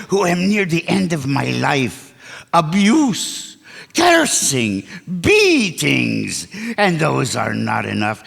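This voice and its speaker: A man's voice: a little high, gravelly, a bit bright, heavily acted. An actor playing a frightened, scheming old henchman in a stage radio play, pleading and repeating himself in formal phrases. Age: older. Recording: echoey stage hall recording.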